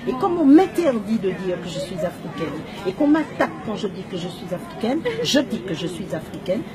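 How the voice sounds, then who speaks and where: A woman's voice: mid-range voice, big pitch swings, brisk. A woman from Martinique, presenting her book in an impassioned, emphatic storytelling manner with rhetorical questions. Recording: crowd chatter behind the voice.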